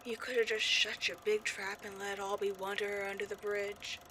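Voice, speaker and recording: A melodramatic female ghost who haunts an old horror film, acted by a woman for a comedic audio roleplay. Her voice is bright and forward, at a middling pitch for a woman. She talks straight to the listener, mock-sinister and petty, and is easily exasperated. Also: little pitch movement, normal speed, a little hiss or hum, natural voice, rough recording. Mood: bitter, low.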